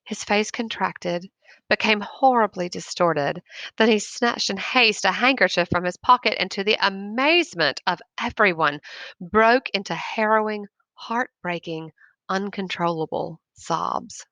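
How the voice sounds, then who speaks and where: A woman narrates literature in an expressive, teacherly read-aloud style. Her voice sits at a middle pitch with a bright, crisp edge. The sound is dry, with very little room on it. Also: unhurried, no echo. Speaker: narrator reading a short story, teacher.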